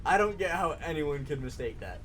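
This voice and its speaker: A man's voice: on the high side, very animated, fairly quick, fairly bright tone, a little hiss or hum. A man hosting a casual gaming stream, joking and rambling as he builds. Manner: joking, rambling, lots of fillers. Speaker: streamer host.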